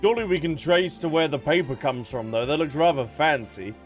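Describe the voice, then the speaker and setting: A man's voice: a little high, brisk. A man doing casual, joking live commentary as he streams a video game. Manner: joking, chatty, reactive. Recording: faint game music behind the voice.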